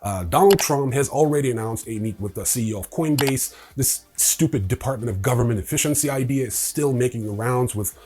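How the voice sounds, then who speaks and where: A man hosting a news show with opinionated commentary, informal and sardonic in manner, with a husky voice. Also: mid-range voice, fairly quick, few pauses, darkish tone. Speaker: host of a crypto news show.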